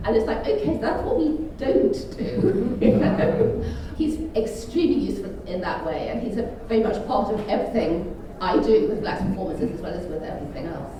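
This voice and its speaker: A woman's voice: medium pitch, animated, a little fast, warm tone. A middle-aged woman giving an explanatory interview as an access and inclusion consultant. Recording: echoey, distant mic, faint noise.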